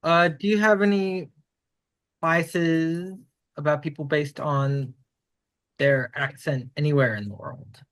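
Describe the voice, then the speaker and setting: A man interviewing, asking questions hesitantly with drawn-out fillers. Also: a little high, a bit bright, no echo.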